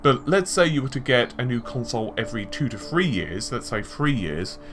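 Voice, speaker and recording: A man's voice: medium pitch, lively intonation, brisk. A man giving conversational tech and gaming commentary, explaining his points with examples and asides. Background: background music.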